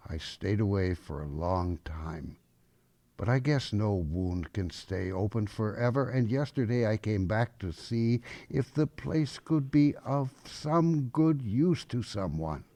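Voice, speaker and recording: A man playing a gruff old grandfather with a softening heart in an audio drama, speaking in a folksy, halting way. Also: fairly low pitch, animated, dry recording. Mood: subdued, wistful. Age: older.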